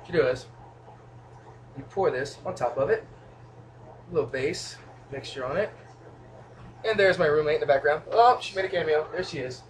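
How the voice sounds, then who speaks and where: Young man, goofy, rambling, slightly high voice, kitchen, camera mic, faint hiss. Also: normal speed, long pauses, rough recording. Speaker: home cooking vlogger. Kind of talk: casual cooking demo, joking asides. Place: small home kitchen, indoors. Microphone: camera mic from a few feet away.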